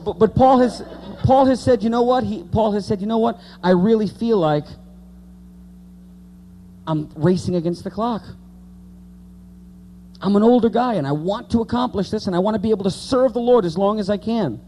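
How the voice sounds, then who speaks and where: A man's voice: high-pitched, moderate pace, long pauses, dark tone, a little hiss or hum. A man in his late thirties preaching an informal evangelical sermon, repeating key words for emphasis and speaking conversationally to the congregation. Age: late 30s.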